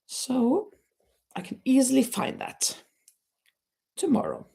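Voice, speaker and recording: A middle-aged woman with a Norwegian accent chats unhurriedly on a home livestream. Her voice has a gravelly fry, and she laughs easily and restarts her words as she reads out comments. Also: high-pitched, wildly swooping pitch, takes it slowly, dark tone. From Norway. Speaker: livestream host. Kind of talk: casual chat reading viewer comments. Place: home, near a terrace.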